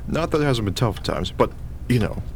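A man's voice: medium pitch, big pitch swings, fairly quick, creaky, background noise. A man playing a nervous expectant husband in a radio play, trying to sound confident. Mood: reassuring. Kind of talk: radio drama acting.

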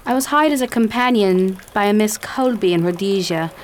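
A woman's voice: mid-range voice, normal speed, a little hiss or hum, natural voice. A young woman playing a frightened, stranded companion who pleads anxiously in an amateur radio drama. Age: young adult.

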